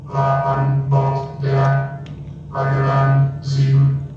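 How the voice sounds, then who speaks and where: An actor playing a matter-of-fact, resigned technician in a science fiction radio drama, speaking in a dry, unhurried way. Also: male voice, mid-range voice, monotone, music in the background. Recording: distant, echoey, slightly dull recording.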